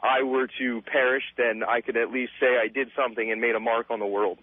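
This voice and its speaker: A man's voice: mid-range voice, little pitch movement, a little fast, no room sound. A man phoning in to a talk show, chatting casually in a hesitant, earnest way. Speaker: caller to a radio show. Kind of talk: casual phone-in conversation. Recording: dull, lo-fi phone call on radio.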